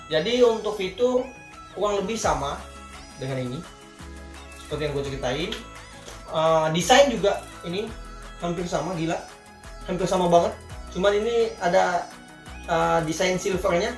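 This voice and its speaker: A man with a Jakarta Indonesian accent giving a casual product review in informal, colloquial talk, often addressing his viewers directly. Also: high-pitched. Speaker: vlogger reviewing gear. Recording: echoey room with faint music.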